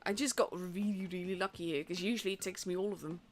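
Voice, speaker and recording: A woman's voice: lowish voice, steady tone, fast talker. A young woman with a Dutch accent, a native Dutch speaker, chatting casually while commentating a tournament on her live stream, rambling with fillers and restarts. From Netherlands. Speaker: esports caster and streamer. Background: faint stream music.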